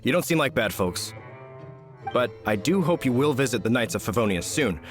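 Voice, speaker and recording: A courteous, earnest guard knight of a city who speaks formally, played by an actor. The voice sits at a middle pitch and moves through a lively, animated range. Game character dialogue. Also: moderate pace, soft background music, lightly acted. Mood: suspicious.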